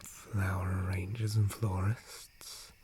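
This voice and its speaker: A man voicing a gentle, polite dryad guardian in a breathy, formal whisper for an ASMR roleplay. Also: sits low, flat delivery, takes it slowly, heavy background noise. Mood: calm, explaining. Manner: formal, courteous, hesitant, softly hushed. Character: gentle, polite male dryad, forest guardian tree spirit.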